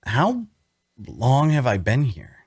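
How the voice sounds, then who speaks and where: A man's voice: mid-range voice, wildly swooping pitch, slow, husky, no room sound, studio quality, heavily acted. A man playing a weary, wary wounded prisoner who also narrates his story in an audio drama table read. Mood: wary, hesitant.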